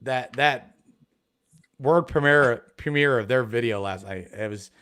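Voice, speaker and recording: A man from Ohio chatting as a guest on a casual live stream about metal detecting, excitable and full of restarts. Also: mid-range voice, unhurried. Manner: excitable, rambling, many restarts.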